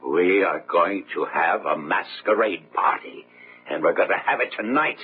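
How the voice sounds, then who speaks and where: An actor playing an arrogant, blustering self-made millionaire in a radio drama. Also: male voice, low voice, expressive, normal speed. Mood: domineering. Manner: blustering, bullying. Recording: muffled phone-like old radio, faint hum.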